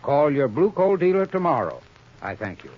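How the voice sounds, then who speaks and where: A man's voice: fairly high pitch, very animated, normal speed. A man reading a scripted 1930s radio commercial as an expert spokesman, with formal, instructive phrasing. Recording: muffled 1930s radio recording.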